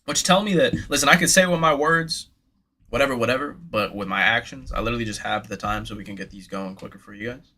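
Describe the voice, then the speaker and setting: Hyped young man with a bright voice. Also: medium pitch, fast. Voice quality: slightly nasal. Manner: hyped, slangy, talks straight to viewers. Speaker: live card break host. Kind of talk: casual livestream chatter.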